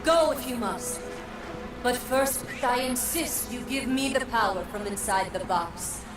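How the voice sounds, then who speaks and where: A woman playing an imperious goddess in a game cutscene, solemn and commanding, with an echoing voice. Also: fairly high pitch. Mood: demanding. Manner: solemn, commanding, grandly deliberate. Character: imperious, insistent goddess woman of stately authority. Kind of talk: dramatic video game cutscene acting. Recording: echoey, distant voice under loud music.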